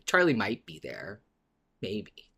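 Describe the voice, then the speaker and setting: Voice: deep voice, wildly swooping pitch, slow pace, vocal fry, fairly bright tone, slightly rough. A podcast co-host in a casual fan discussion, talking conversationally with the odd chuckle.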